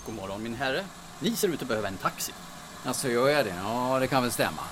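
Actor in animated taxi-rank exchange, engine noise. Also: male voice, mid-range voice, natural voice. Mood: polite, guarded. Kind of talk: audio drama acting. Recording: clear engine and street noise behind. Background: vehicle engine ambience.

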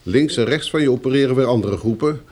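Radio play: hard male boss of a press gang, acted by a man, curt, commanding, mid-pitched. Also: fast talker, darkish tone, lightly acted. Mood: brisk, stern. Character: bossy, hard male leader of a press gang giving orders. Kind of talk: Dutch radio play acting.

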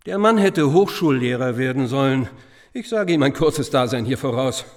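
Actor as sardonic, gossipy schoolteacher. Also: male voice, mid-range voice, lively intonation, moderate pace, lightly acted. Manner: ironic, chatty, conspiratorial. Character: gossipy, sardonic schoolteacher, cynical confirmed bachelor. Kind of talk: conversational radio drama acting.

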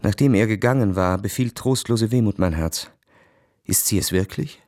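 A literary radio drama voice acted by a man: a proud, cynical officer narrating his own affairs. The pitch sits low and the sound is dry and intimate. His delivery is measured, cool and ironic. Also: darkish tone, dry recording, lightly acted. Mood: brooding, confiding.

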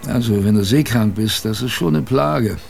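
An actor playing an old seafarer in a radio drama, colloquially reminiscing about his youth in a gravelly voice. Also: on the low side, darkish tone. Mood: wry reminiscing. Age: older. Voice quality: gravelly, creaky. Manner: colloquial, unhurried raconteur.

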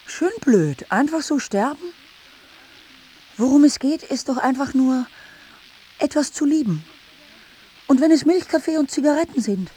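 An actress playing a defiant, lonely woman musing on life in a husky voice, in a radio drama. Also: high voice, animated, a little slow, pauses often, dark tone.

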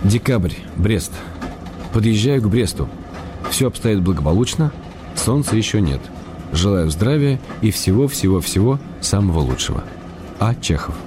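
A man's voice: on the low side, lively intonation, moderate pace, natural voice. A man playing a stubborn but affectionate playwright in a radio play, reading his letters in a restrained, matter-of-fact way. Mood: terse, fond. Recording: faint noise and ambience behind.

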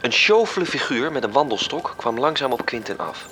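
An actor playing a measured storyteller, reading scripted literary narration for a radio drama. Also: male voice, medium pitch, very animated, sharp tone. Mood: descriptive. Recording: music under the voice. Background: music.

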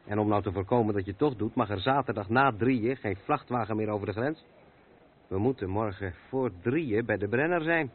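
An actor the playing a seasoned, patient truck driver who explains things matter-of-factly in a radio play. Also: male voice, lowish voice, a little fast, lightly acted. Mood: impatient, concerned. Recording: tinny, noisy old radio recording.

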